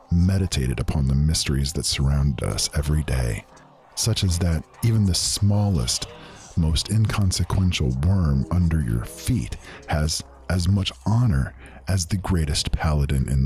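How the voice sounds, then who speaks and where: A man playing a wistful old mage, recounting his past in formal, archaic storytelling with a rough voice. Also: low voice, expressive, unhurried, darkish tone, soft background music. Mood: fond, solemn. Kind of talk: fantasy audio drama monologue.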